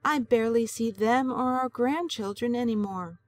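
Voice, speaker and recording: An actress playing an elderly grandmother and wife, gentle and earnest, in an audio drama. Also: fairly high pitch, normal speed, soft background music, lightly acted. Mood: troubled. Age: older. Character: elderly grandmother, lonely and worried.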